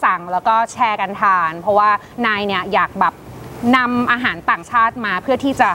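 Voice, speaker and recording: A young woman representing a restaurant, answering questions politely and warmly in an outdoor garden on a lapel mic. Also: mid-range voice, a little fast, slight background noise. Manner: friendly, polite, frequent affirmations. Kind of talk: casual interview answers.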